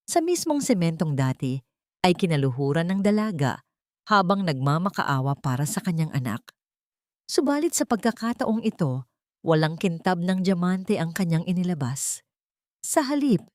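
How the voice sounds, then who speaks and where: A woman narrating a sentimental love story in a measured, solemn, dramatic way. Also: on the low side, darkish tone, dry recording, clean studio sound.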